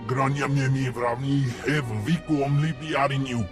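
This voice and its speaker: A man's voice: medium pitch, fairly even intonation, moderate pace, soft background music, natural voice. A man voicing an alien pilgrim in a video game, declaiming in an invented tongue. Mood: solemn.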